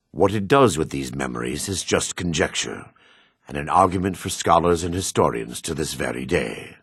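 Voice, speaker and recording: An actor playing an older dark elf priest in a video game, grave, devout and weary, with a gravelly, husky voice and solemn, formal phrasing. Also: male voice, very deep voice, no echo, clean studio sound, heavily acted. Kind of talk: video game character acting.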